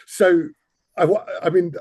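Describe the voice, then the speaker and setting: Husky, mellow male podcast host. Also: high voice, fairly even intonation, unhurried. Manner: self-deprecating, hesitant, polite. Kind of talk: casual interview hosting.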